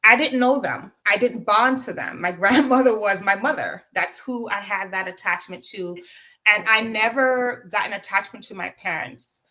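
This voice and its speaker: Bright-voiced Jamaican woman recounting her childhood. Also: mid-range voice, normal speed, low-quality recording. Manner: reflective, with frequent ums and tag questions of "right?". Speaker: guest. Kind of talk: personal storytelling in an interview.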